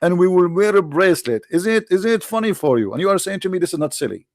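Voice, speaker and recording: A man's voice: sits high, animated, fast talker, a little mellow, no echo, clean studio sound. A man hosting an argumentative debate, challenging and mocking his caller with repeated questions. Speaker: debate host.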